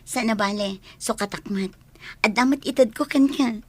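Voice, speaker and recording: A woman's voice: a little high, moderate pace, breathy edge, no echo. A woman playing a friendly, admiring neighbour in an Ilocano radio drama. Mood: admiring, cheerful.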